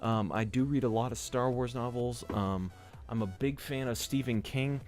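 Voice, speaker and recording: A young man from Georgia hosts a gaming channel vlog and talks quickly and excitedly, with a stutter and many fillers. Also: mid-range voice, faint music behind. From Georgia, USA. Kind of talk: casual vlog talk to camera.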